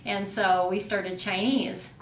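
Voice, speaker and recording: A woman host who chats casually, laughs often and keeps affirming her guest. Her voice sits in a roomy, echoey space, with a faint rumble underneath. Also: steady tone, slow. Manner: affirming, laughs often, many back-channel replies. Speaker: podcast host. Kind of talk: casual podcast conversation.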